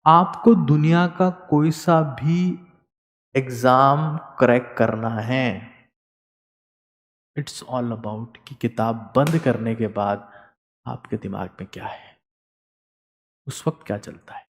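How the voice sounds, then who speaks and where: A man lectures students with emphatic repetition, his voice rising for key words and dropping low elsewhere. His pitch sits in an ordinary middle range. The sound carries a long hall reverb and drifts off-mic at times. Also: slow, long pauses. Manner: emphatic, repeats key words, shifts between loud and soft. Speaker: teacher. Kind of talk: motivational study lecture.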